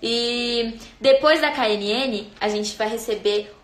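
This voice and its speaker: A woman's voice: on the high side, takes it slowly, roomy sound. A young woman with a Brazilian accent hosting a livestream, chatting playfully with viewers and a guest. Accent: Brazilian Portuguese. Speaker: livestream host. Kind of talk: casual livestream chat.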